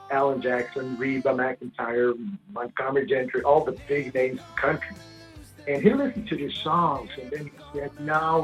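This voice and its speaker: A man from Sweden with a big, gravelly voice, telling stories at length in a casual interview and often restarting his sentences. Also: medium pitch, rough recording. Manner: rambling storyteller, frequent restarts. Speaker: singer-songwriter guest.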